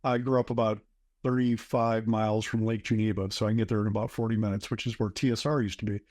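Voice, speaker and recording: A man's voice: on the low side, flat delivery, quick pace, darkish tone, dry recording. Fifties guy from wisconsin rambling thru old stories, lots of uhs, dry close sound. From southern Wisconsin, USA. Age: 50s. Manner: rambling storyteller with many fillers. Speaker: guest, author and game designer. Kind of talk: casual interview chat.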